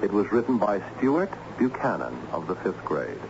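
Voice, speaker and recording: An actor playing a harried, ironic assistant principal in an old-time radio drama, theatrical and patiently explanatory. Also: male voice, fairly low pitch, big pitch swings, normal speed. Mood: patient, earnest. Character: harried, excitable male school assistant principal with ironic humour. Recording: dull, noisy old radio recording.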